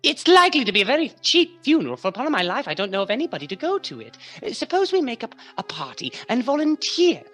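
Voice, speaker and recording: A woman's voice: on the high side, sing-song, fast, few pauses, a bit bright, faint music behind. A woman playing a nosy, flippant business gossip in a radio play, inquisitive and mocking. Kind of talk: classic radio play acting.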